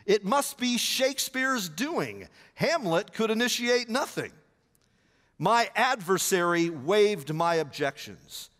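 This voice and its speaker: A middle-aged man with a Southern American accent preaching a storytelling sermon, animated and gesturing as he paces the stage on a headset mic. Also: high voice. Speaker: pastor. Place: large church auditorium stage. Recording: long church hall reverb.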